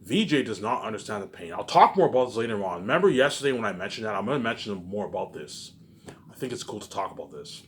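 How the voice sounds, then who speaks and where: Casting: male vlogger, low voice, loose repetitive monologue with emphatic bursts and muttered endings, some background noise. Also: very animated, fast. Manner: frequent swearing. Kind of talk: rambling casual monologue. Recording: clearly noisy background.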